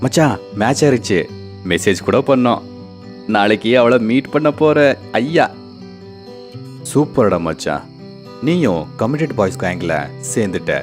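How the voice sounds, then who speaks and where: A man voicing an excitable, slangy young friend in a cartoon. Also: mid-range voice, a little slow, pauses often, close to an everyday voice. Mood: excited. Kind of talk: Tamil cartoon dub. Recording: music bed behind.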